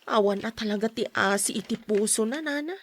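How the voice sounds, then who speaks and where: A woman's voice: on the high side, a little fast. A woman acting a hard-pressed debtor in a radio drama, meek and pleading. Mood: aggrieved.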